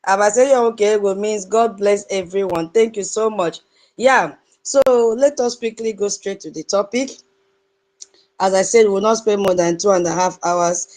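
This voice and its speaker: A woman's voice: mid-range voice, normal speed. A woman with a Nigerian accent hosting a live online audio space, greeting and welcoming listeners by name, some in her own dialect. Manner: greets listeners one by one in dialect, sing-song and warm.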